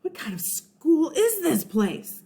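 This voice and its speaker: An anxious little schoolboy out of a storybook, played by a woman reader. He is wide-eyed and dramatic, with swooping pitch and a soft, mellow tone, treating everything as dreadful. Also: big pitch swings, slow, creaky, heavily acted. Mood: alarmed.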